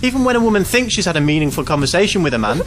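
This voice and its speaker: A man's voice: high-pitched, big pitch swings, racing. An actor with a British accent playing an awkward, self-deprecating, bewildered ordinary man in a nervous, rambling, wry audio drama style. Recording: clear background noise, outdoor vehicle ambience.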